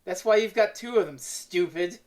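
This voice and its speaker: A woman's voice: lowish voice, moderate pace, darkish tone, slight background noise. A woman co-hosting a review, recapping a story in casual chat. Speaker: podcast co-host reviewing an audio drama.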